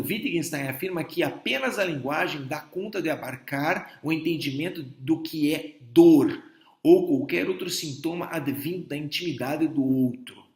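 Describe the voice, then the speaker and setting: A middle-aged man from Brazil lectures doctors on philosophy, voice sitting a little high for a man. He explains patiently, often stopping to restart a phrase or check that listeners follow. The recording carries a noticeable room echo. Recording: echoey room.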